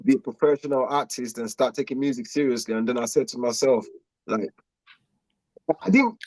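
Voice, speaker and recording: A young man from Nigeria, a guest musician, chatting in a relaxed, slangy way and laughing often. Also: medium pitch, fairly quick, no echo, low-quality recording. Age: young adult. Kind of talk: casual interview chat.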